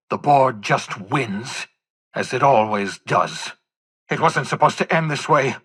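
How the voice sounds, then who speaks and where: Casting: eccentric older fugitive scientist, video game. Bright, forceful, husky rasp; obsessive, theatrical, self-reproaching, articulate. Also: male voice, a little high, heavily acted. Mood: grim, disbelieving. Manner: theatrical, emphatic, articulate phrasing. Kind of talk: dramatic video game dialogue.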